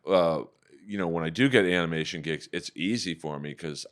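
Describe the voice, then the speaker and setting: A man with a deep baritone voice that sits very low and rings smooth and resonant. He tells stories casually on a podcast, animated and profane. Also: brisk. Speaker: comedian and voice actor guest.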